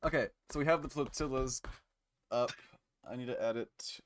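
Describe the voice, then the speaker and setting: A man's voice: medium pitch, normal speed, slight background noise. A man streaming while building a game, talking laid-back and muttering his steps to himself. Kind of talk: casual chat while working. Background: keyboard typing and clicks.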